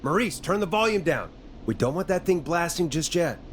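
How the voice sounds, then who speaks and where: A man voicing a late-night radio talk host in a video game, steady, reassuring and decisive. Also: on the high side, normal speed, slight background noise, natural voice. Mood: focused, urging.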